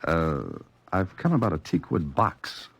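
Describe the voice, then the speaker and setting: An American actor playing a wry, cool novelist-sleuth in a 1940s radio drama, with dry, clipped delivery. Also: male voice, low voice, expressive, unhurried, husky, no room sound, exaggerated voice. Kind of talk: 1940s radio drama acting and first-person narration. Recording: old radio recording with music behind.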